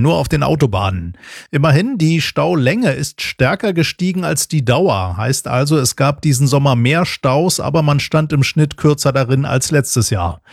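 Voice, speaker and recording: A man's voice: fairly high pitch, vocal fry, slightly rough, dry recording, clean studio sound. A man filing a radio news report in an explanatory reporting tone.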